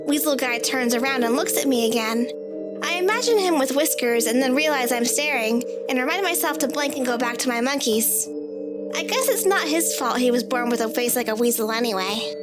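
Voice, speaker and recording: A woman's voice: sits high, expressive, fairly quick. A woman reading a first-person story in the voice of a child, with a childlike, matter-of-fact manner. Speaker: story narrator. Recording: loud music bed under the voice. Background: music.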